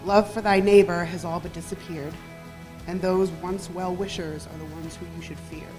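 A woman's voice: on the low side, fairly even intonation, moderate pace, over music, poor audio. A woman in her thirties reading poems aloud at a podium microphone in a library meeting room. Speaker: poetry reader. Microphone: podium gooseneck microphone.

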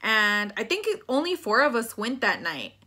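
A woman's voice: a little high, sing-song, normal speed, sharp tone. A woman, telling a personal story in a casual, slangy way, slipping between English and Spanish. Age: 20s. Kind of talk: casual storytime chat to camera. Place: small plain room indoors.

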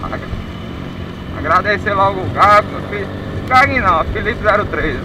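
Male motovlogger, higher-pitched, informal slangy chat, heavy noise on action-camera audio. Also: very animated, moderate pace. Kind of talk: casual vlog chat. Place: riding a motorbike on rural dirt roads, later a dim room. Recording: noisy action-camera audio, distant voice, motorcycle rumble.

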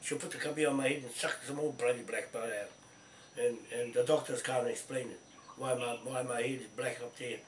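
An older man from New Zealand with a croaky voice and a cough, chatting on a speakerphone in a confident, repetitive way. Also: medium pitch, fairly even intonation, a little fast. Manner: repetitive, confident, starts and restarts. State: hoarse, coughing. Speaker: group organiser on a phone call. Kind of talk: casual phone chat. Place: cluttered indoor room. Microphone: camera across the room, talking on speakerphone. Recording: roomy, distant, faint noise.

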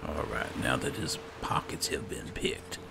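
A man's voice: extremely low pitch, unhurried, creaky, heavy background noise. A man giving casual gameplay commentary, muttering to himself as he thinks aloud. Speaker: gamer narrating a playthrough.

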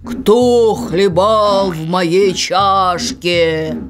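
A woman voicing a big, shaggy, angry father bear in a slow, drawn-out growl. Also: on the low side, faint music behind. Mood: angry roaring.

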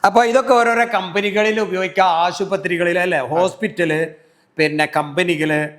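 A man's voice: high voice, fairly even intonation, moderate pace, fairly bright tone. A middle-aged man, hosting a casual promotional product interview in a talkative way, often prompting with tag questions. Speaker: video host interviewing a salesman.

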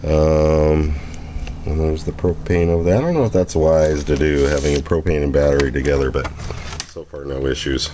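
A man's voice: rumbling low, fairly even intonation, normal speed, background noise. A man giving a chatty, rambling handheld camera tour with lots of ums and uhs. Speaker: vlogger showing his homemade trailer. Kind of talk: casual handheld walkthrough. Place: outdoors at a parking lot, then inside a small trailer.

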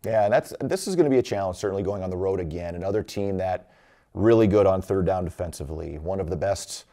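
Low-voiced, brisk man hosting sports talk. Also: mellow. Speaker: sports show host. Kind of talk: sports preview studio discussion. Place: TV studio.

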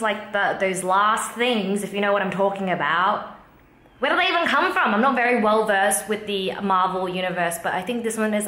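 A young woman with an Australian accent chatting casually and expressively to camera at arm's length as she vlogs. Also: mid-range voice. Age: young adult. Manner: chatty, expressive, enthusiastic, uses "like" often. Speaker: vlogger.

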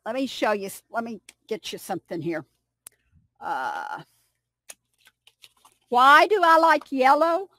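An older woman from Kentucky with a Kentucky accent, chatting slowly on a video call through a headset and explaining with many restarts. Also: fairly high pitch, very animated, sharp tone, no room sound. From United States. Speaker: English conversation partner. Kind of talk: casual video call chat. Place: home room indoors.

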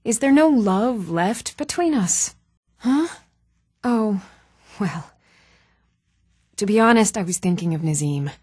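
A townswoman shopkeeper from a fantasy role-playing game. Her voice sits at a middle pitch, with measured, theatrical phrasing and careful enunciation. The recording is dry, with hardly any room sound. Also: expressive, a little mellow, husky, no echo. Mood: troubled, weary. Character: even-toned adult townswoman, shopkeeper in a fantasy world. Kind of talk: scripted video game voice acting.

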